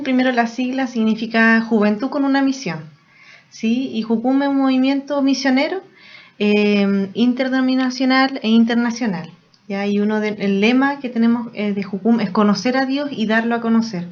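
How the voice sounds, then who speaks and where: A woman from Chile with a Chilean accent, a missionary and nurse, answering interview questions calmly. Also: on the high side, normal speed. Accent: Chilean Spanish. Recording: dull lo-fi sound.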